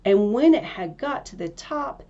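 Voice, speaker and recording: A woman's voice: mid-range voice, animated, a little slow, mellow, dull audio. A woman narrating a fairy tale from a script as a storyteller.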